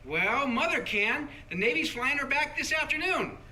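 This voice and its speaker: Radio drama bit part: boyish, modest serviceman, played by a man, very high and sharp, cheerful. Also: animated, normal speed, heavily acted. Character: cheerful, modest young war hero on the telephone. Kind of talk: 1940s radio play acting.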